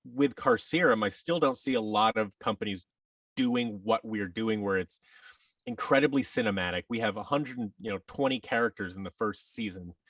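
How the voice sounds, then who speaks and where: A man's voice: fairly low pitch, moderate pace, fairly bright tone, no room sound, distant mic. A man giving a rambling, enthusiastic explanation as a guest in a conversational interview. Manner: rambling, enthusiastic explaining, acts out imagined quotes. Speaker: podcast guest, audio drama producer.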